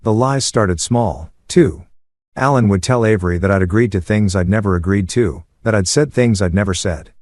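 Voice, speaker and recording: A man narrating a first-person story in a steady, measured way. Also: lowish voice, dry recording, clean studio sound.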